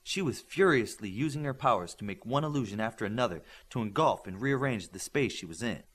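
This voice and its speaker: A man's voice: mid-range voice, lively intonation, a little fast, fairly bright tone. A man reading a scripted story aloud as an audiobook narrator.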